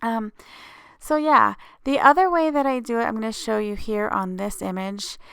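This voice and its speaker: A woman's voice: a little high, animated, fairly quick, vocal fry, a bit bright, clean tone, no echo, clean studio sound. A woman with a slightly scratchy voice hosts a casual craft tutorial voice-over, explaining each step informally.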